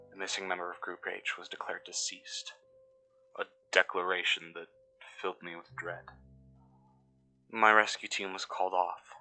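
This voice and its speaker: Deep, sharp-voiced, brooding man playing an uneasy excavation worker. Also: full character voice, poor audio. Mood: grim, resigned. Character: uneasy, cynical male excavation worker recording an audio log. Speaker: narrator of a fictional audio log. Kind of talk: scripted first-person mystery narration.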